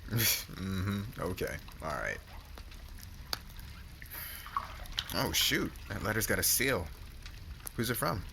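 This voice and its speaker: A man's voice: on the low side, big pitch swings, a little slow, creaky, noisy background, exaggerated voice. A man playing a laid-back, devoted young warrior husband in an ASMR romance roleplay, talking in casual slang. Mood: amused, teasing. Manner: casual slangy talk, stammers when flustered.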